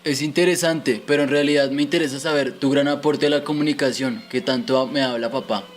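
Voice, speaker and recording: A man's voice: mid-range voice, steady tone, fairly quick, slight background noise, natural voice. A man playing a curious, respectful son who puts scripted questions to his father in an educational radio drama.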